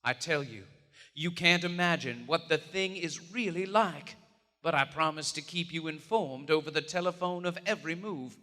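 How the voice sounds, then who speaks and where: An actor playing a domineering, driven occult investigator, grave and condescending, in a theatrical horror radio play performed live. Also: male voice, a little high, moderate pace, sharp tone. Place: on stage in a large theater. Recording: live theater hall reverb.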